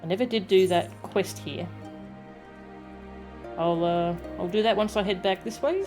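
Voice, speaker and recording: A woman chatting casually and musingly as she streams a game, pausing and hesitating as she thinks aloud. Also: medium pitch, a little mellow. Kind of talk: casual live game stream chat with dialogue read aloud. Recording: music behind the voice. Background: game music.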